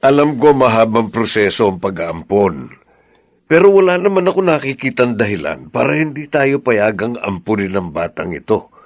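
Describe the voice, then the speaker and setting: A man with a Filipino accent acting a plain-spoken, determined farmer husband in a radio drama, earnest and persuasive, with a gravelly, husky voice. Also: lowish voice, big pitch swings, moderate pace, dry recording, clean studio sound. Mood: firm, reassuring.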